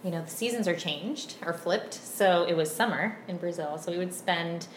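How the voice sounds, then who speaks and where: Woman guest with a roomy echo. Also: lowish voice. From Virginia, USA. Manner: friendly, unhurried, many ums and uhs. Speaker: podcast guest. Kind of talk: casual interview chat. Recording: roomy echo, faint background hum.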